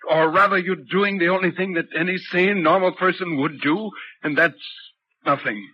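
An actor in a 1940s radio drama playing a sympathetic, rational visitor, reasoning earnestly and reassuringly. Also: male voice, high-pitched, moderate pace, lightly acted. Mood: firm, reassuring. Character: sympathetic, rational male visitor calming a troubled man. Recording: muffled, noisy vintage 1940s radio recording.

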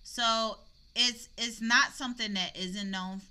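A woman's voice: on the high side, fairly even intonation, slow, bright, forceful tone, clean tone. A woman hosting a casual podcast conversation in an informal, conversational way. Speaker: podcast host leading the discussion. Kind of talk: casual chat.

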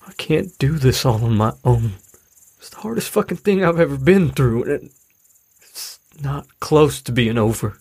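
An actor playing a troubled, frustrated middle-aged father in a naturalistic audio drama, speaking haltingly and downcast. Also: medium pitch, expressive, a little mellow, husky, dry recording. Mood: weary, pleading. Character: weary, troubled, frustrated widowed father of a teenager.

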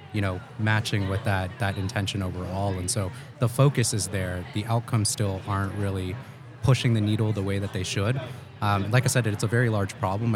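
Deep, flat-voiced man. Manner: measured, earnest explanations. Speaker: guest, head of talent acquisition. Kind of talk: conversational podcast interview. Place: live at a trade event booth.

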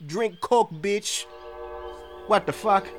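An actor playing a crude, gleeful, trigger-happy biker thug with a brash, slangy delivery. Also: male voice, high voice, lively intonation, drawn-out words, faint music behind, full character voice.

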